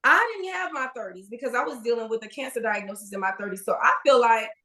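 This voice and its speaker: A woman's voice: a little high, lively intonation, quick pace, pushed and bright. A woman in her forties co-hosting a podcast, talking candidly and casually with many fillers and restarts. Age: 40s. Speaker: podcast co-host, registered nurse. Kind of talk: casual chat.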